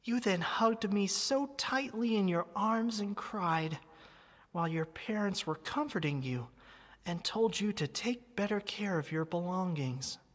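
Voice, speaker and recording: A sweet living teddy bear, acted by a woman in an ASMR roleplay, talking slowly with big swoops of pitch. The manner is tender and wistful, as if to a dear childhood friend, and a long reverb trails the voice. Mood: tender, bittersweet. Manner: gentle, expressive, addressing the listener as an old friend.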